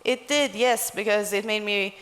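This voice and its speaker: A woman's voice: mid-range voice, moderate pace, a bit bright. A woman in her twenties from Sweden, giving a personal account as a panelist. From Gothenburg, Sweden. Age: 20s. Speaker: panelist, activist. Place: large conference hall stage. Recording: reverberant conference hall.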